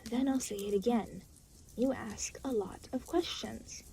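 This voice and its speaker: Casting: woman as aloof demon for audio roleplay, creaky voice, dry sarcasm, exasperated and weary, faint background noise. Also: a little high, a little slow, warm tone, rough recording. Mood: weary, sardonic. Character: aloof, exasperated demon, reluctantly babysitting a new soul. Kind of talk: audio roleplay addressed to the listener.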